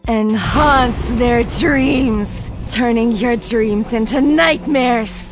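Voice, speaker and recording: A woman's voice: fairly high pitch, extremely slow. A woman voicing a shy, bookish anime schoolgirl with a slyly dramatic streak in a fan dub. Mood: eerie storytelling. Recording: music behind the voice. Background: music.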